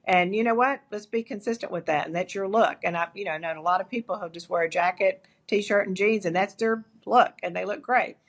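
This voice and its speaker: An older woman speaks in an East Texas drawl, her pitch in an ordinary middle range. She talks candidly and with energy, often starting a phrase over as she tells her stories in an interview. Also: brisk, hardly any pauses. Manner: candid, animated storytelling with frequent restarts. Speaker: guest, agency founder. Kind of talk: interview conversation.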